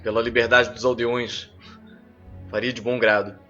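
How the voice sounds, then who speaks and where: A man's voice: medium pitch, little pitch movement, normal speed, fairly bright tone, muffled recording, faint music behind, voice pushed down, close to an everyday voice. A man voicing a valiant, battle-seasoned knight, terse, stern and formal in manner. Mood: stern bargaining.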